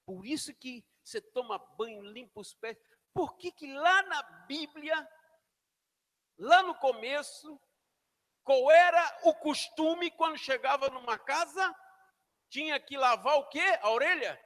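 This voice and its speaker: A pastor preaching a sermon in a church, shouting then dropping to near whispers as he calls the congregation to repeat after him. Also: very high-pitched, animated, unhurried. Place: church hall. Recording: long hall reverb.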